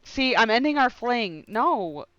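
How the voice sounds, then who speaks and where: A woman streaming a game, casually reacting and reading choices aloud in an expressive, irreverent, giggly way. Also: on the high side, unhurried, no echo, muffled recording.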